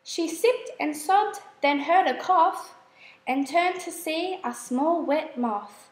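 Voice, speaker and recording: A woman reading children's verse aloud as a storyteller, in a measured, expressive way. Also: high-pitched, roomy sound. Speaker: storybook reader. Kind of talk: scripted children's verse narration.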